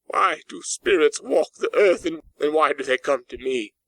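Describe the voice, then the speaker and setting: A cold old miser from a storybook, acted out by a man, speaks in a voice pitched above a plain male register. He is sharp-tongued and excitable, with a pleading edge of dread, and the sound is close and dry. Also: normal speed, creaky, no room sound, exaggerated voice. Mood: terrified. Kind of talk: dramatised storybook narration.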